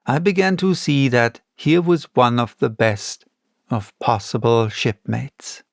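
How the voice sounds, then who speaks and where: Male audiobook reader, unhurried literary narration, creaky edge, dry close sound. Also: mid-range voice, expressive, dry recording.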